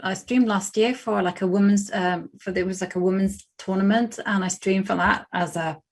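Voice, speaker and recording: A woman's voice: mid-range voice, steady tone, normal speed. A British woman in her thirties chatting casually while playing chess on a livestream, rambling with lots of fillers and restarts. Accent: British English. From Britain. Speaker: chess streamer.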